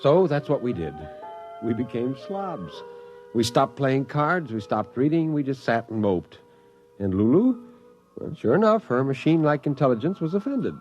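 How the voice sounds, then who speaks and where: Animated, exasperated spaceman, played by a man. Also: medium pitch, moderate pace, dull audio, faint music behind, lightly acted. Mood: wry. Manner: emphatic, wry. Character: exasperated spaceman narrating his kidnapping by a robot. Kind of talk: 1950s radio drama acting and narration.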